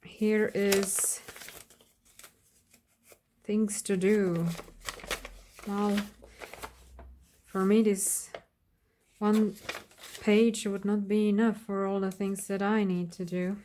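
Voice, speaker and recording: A woman whose first language is Slovak, giving an unscripted flip-through of a handmade craft journal in a hesitant manner, often pausing and searching for words. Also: medium pitch, level tone, warm tone, slight background noise. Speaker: craft vlogger.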